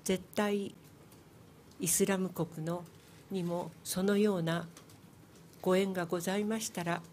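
Older woman, earnest, polite, halting restarts, low-pitched, faint room noise, table mic in a conference hall. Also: a little mellow. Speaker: mother speaking at a press conference. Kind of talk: formal press conference statement. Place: large press club conference room. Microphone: table microphone on a stand.

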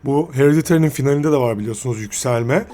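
A man giving casual, slangy film commentary as a video reviewer, halting and correcting himself as he goes. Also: mid-range voice, dark tone, slight background noise. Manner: halting, self-correcting delivery.

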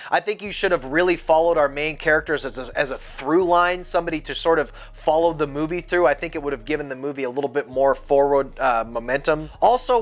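A man in his thirties with a somewhat high voice, reviewing a movie in a loose, candid way. He sits at his desk at home, talks straight to the camera and gestures with both hands as he goes. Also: fairly bright tone. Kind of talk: casual spoken movie review. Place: home room lined with movie shelves.

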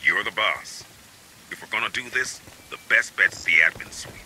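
An actor playing a cynical, streetwise squad adviser in a video game, talking in a sardonic, clipped way. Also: male voice, on the low side, normal speed, very noisy, close to an everyday voice. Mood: wry. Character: wry, streetwise male squad adviser, cynical rebel realist. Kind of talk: video game cutscene and mission dialogue acting. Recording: game music behind the voice.

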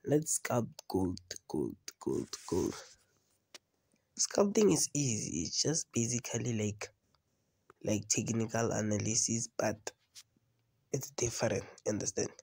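Guy with a cold doing a casual screen-recorded tutorial, gravelly dark voice, keeps asking "you understand?". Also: fairly low pitch, big pitch swings, a little slow, pauses often. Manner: repeats phrases, asks "you understand?" often. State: has a cold. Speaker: trading video host. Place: indoors, voice-over on phone screen recording.

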